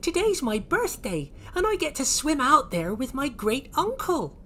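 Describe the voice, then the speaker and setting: A storyteller as a high-voiced, earnest little sea reptile. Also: wildly swooping pitch, a little slow, pushed and bright, slight background noise, exaggerated voice. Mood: friendly, eager. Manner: earnest, childlike. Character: innocent, wistful young male sea reptile child.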